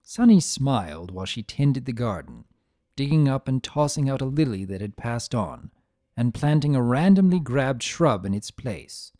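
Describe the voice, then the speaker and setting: Dramatic fiction reader: mellow tone, lively pitch movement, scripted storytelling. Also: normal speed, no room sound, studio quality. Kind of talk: dramatic reading of fan fiction.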